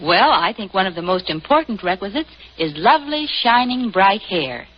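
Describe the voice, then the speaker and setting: A woman's voice: medium pitch, very animated, moderate pace. A young woman reading a scripted endorsement in a 1940s radio advertisement. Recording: dull 1940s radio recording.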